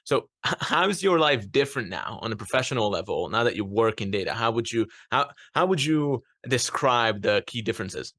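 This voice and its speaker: A man's voice: medium pitch, expressive, brisk, few pauses, bright, forceful tone, no echo, clean studio sound. A man from Hungary hosting a casual interview podcast, speaking with frequent hesitations and fillers. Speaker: podcast host.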